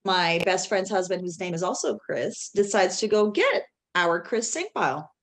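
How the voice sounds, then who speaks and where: Brief: woman, somewhat low voice, an animated podcast guest with a storytelling manner. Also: moderate pace. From Canada (Toronto). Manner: animated, laughs often, quotes others in dialogue. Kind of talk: casual storytelling.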